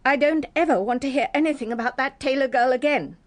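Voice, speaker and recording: An actress playing a guarded, grieving widow in a radio drama. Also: female voice, sits high, quick pace, close to an everyday voice. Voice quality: guarded, clipped. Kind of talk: BBC radio drama acting.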